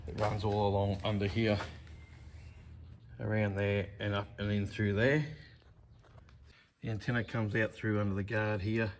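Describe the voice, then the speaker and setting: Low-voiced man giving DIY walkthrough, noisy background. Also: level tone, unhurried, pauses often. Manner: plain, matter-of-fact, step by step. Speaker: DIY presenter. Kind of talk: hands-on how-to narration.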